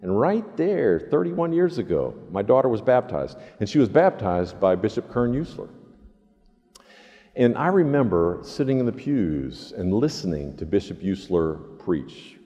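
A man in his sixties preaching a reflective, conversational sermon as a pastor, earnest and deliberate with emphatic pauses. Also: fairly low pitch, sing-song, mellow. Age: 60s. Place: large empty church sanctuary, indoors. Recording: reverberant church hall, slightly muffled.

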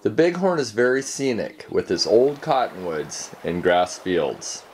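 Calm man narrating a fishing video. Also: on the low side, a little slow, slight background noise. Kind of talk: scripted voice-over narration.

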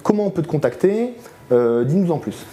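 Mellow, higher-pitched French man hosting. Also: sing-song, a little fast. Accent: French from France. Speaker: host of a local media show. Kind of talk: casual unscripted interview hosting. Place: small furnished indoor studio.

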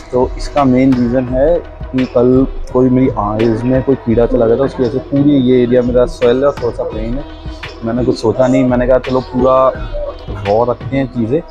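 A young man from India talking casually to the camera as he vlogs, with music behind him. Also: mid-range voice. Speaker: vlogger. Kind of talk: casual vlog chat. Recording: dull lo-fi camera audio.

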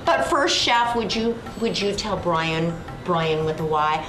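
A woman's voice: fairly low pitch, expressive, a little slow. An older woman hosting a TV cooking show in a restaurant, talking enthusiastically and exclaiming often. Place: restaurant dining room and wine bar. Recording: background music.

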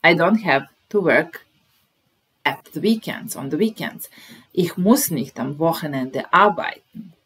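A woman in her thirties gives a slow, patient language lesson from a small room, speaking into a webcam at her desk. Her voice sits a little low, and she repeats each phrase word by word with unhurried pauses. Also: lively intonation, fairly bright tone, husky. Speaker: German teacher.

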